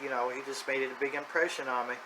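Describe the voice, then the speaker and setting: A man's voice: medium pitch, fairly even intonation, quick pace. Middle-aged man, casual talk over background noise. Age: middle-aged or older. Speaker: hobby radio video host. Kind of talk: casual unscripted vlog monologue. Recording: clear background noise, ambient sound.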